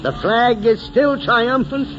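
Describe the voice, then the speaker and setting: An actor playing an elderly, sentimental small-town mayor with a solemn, oratorical manner, in 1940s radio drama style. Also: male voice, very high-pitched, music in the background. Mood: solemn, stirring. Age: older. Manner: oratorical, solemn, drawn-out phrasing. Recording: muffled, hissy 1940s radio recording.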